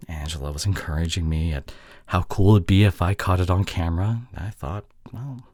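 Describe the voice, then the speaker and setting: A young man playing a sheepish, affectionate boyfriend in an intimate audio roleplay, soft and halting, with breathy drops toward a whisper. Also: deep voice, no echo, studio quality. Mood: sheepish, defensive. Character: sheepish, affectionate young boyfriend apologizing to his long-distance partner.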